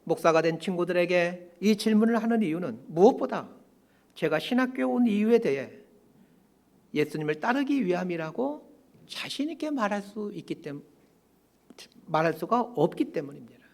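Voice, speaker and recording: A man in his fifties delivers a prepared, reflective sermon. His voice sits fairly high for a man and moves widely in pitch. He phrases things in a measured way, pausing and then stressing key words as a pastor does. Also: animated, vocal fry, a little mellow. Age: 50s. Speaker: pastor preaching a Sunday sermon.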